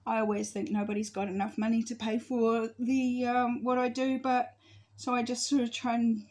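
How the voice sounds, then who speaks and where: Woman, 50s, high-pitched, casual rambling vlogger who loses the thread. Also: darkish tone. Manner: rambling, loses her thread and corrects herself. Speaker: astrology vlogger. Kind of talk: casual spoken monologue to camera.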